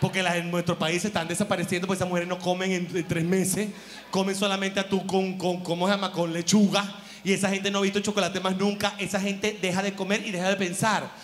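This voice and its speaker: A man's voice: sits high, flat delivery, fast talker. A man, doing rambling stand-up comedy on a theater stage with a handheld mic, jumping into exaggerated put-on quotes. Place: large theater stage with live audience.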